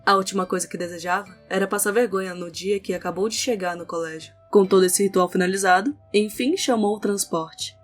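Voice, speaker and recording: A woman's voice: medium pitch, brisk, hardly any pauses. A woman with a Brazilian accent reading scripted narration for an audio novel. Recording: music under the voice.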